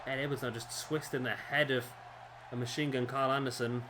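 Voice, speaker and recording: Slightly hoarse man commentating a game. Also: mid-range voice, brisk, very noisy. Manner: relaxed, rambling, with fillers and self-corrections. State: slightly hoarse, losing his voice. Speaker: gameplay commentator. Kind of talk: casual play-by-play game commentary.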